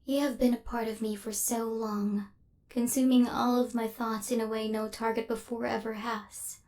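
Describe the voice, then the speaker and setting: Woman acting a haughty, high-voiced female killer robot. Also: little pitch movement, normal speed, a little mellow, natural voice. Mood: flustered, softening. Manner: formal, clinical, stilted robotic phrasing. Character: female killer robot hunter, cold, haughty, secretly flustered. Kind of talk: ASMR roleplay.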